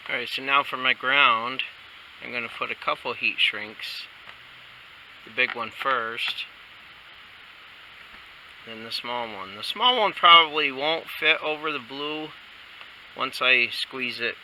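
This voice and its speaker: A man's voice: mid-range voice, slow, pauses often, bright, forceful tone. A man giving a casual hands-on how-to as an installer, talking in short instructional bursts while he works. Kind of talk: casual hands-on tutorial narration while working. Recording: clearly noisy amateur workshop video sound.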